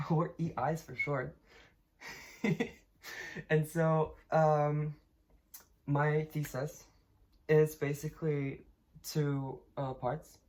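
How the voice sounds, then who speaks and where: A woman's voice: low-pitched, flat delivery, extremely slow, long pauses, darkish tone. A young woman from Poland, casually explaining her thesis with many fillers, restarts and laughs. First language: Polish. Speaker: linguistics student.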